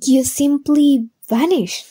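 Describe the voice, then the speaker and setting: An earnest woman of the funfair acted by a woman in an audio drama. Her voice is rather high yet mellow and dark-edged, with gentle, careful delivery. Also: slow pace. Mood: worried.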